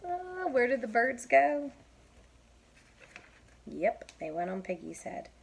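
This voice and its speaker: A woman storyteller reads a picture book for young children. She is expressive and very slow, with animated pitch, pausing for simple teaching asides. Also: creaky. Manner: expressive, spells out words for young listeners. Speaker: children's read-aloud storyteller.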